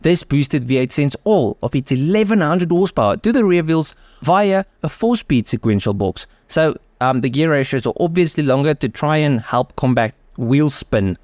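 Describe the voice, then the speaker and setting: A man's voice: fairly high pitch, expressive, normal speed, few pauses, a little mellow, dry recording, clean studio sound. A man with a South African accent narrating a car video, enthusiastic and mixing scripted lines with offhand asides. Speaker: car channel host.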